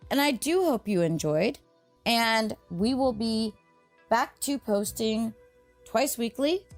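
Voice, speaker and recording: A woman hosting a story channel, chatting casually to her listeners in a rambling, digressive way. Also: fairly high pitch. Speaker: host and story narrator. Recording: music behind the voice. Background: music.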